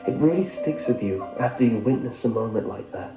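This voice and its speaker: A man's voice: mid-range voice, animated, fairly quick, echoey room, poor audio. A man reading a scripted first-person story as a narrator. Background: background music.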